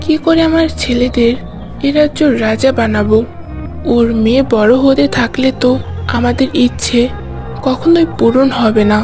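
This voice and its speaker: A jealous, scheming mother from a fairytale cartoon, acted by a woman, with a highish voice. She plots spitefully as if to herself, with music clearly heard behind her. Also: normal speed, natural voice. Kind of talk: cartoon fairytale villain acting.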